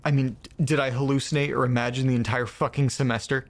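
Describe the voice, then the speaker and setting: A man acting in an audio drama as a shaken narrator reading an eerie first-person account, with deliberate, broken phrasing and dramatic pauses. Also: mid-range voice, flat delivery, lightly acted. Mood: shaken, bewildered.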